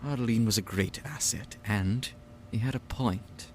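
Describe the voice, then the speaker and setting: A man acting a low-voiced, sneering stern boss. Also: measured pace, slight background noise, natural voice. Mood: angry rebuke. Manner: sneering, authoritative, mock-polite. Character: stern, condescending male organization leader with a grudge. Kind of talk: audio drama acting.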